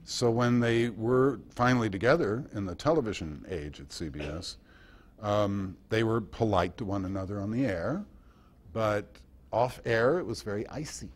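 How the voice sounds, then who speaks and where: A man giving an informal talk and answering questions in a halting, reflective storytelling manner. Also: on the low side. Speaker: guest speaker.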